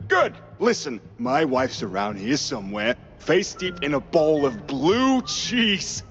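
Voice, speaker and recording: A man's voice: on the high side, very animated, slow pace, a bit bright, exaggerated voice. A man voicing a sleazy, boastful, jealous mouse husband in a cartoon game, smug and wheedling. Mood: smug, scheming. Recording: muffled, faint guitar music behind.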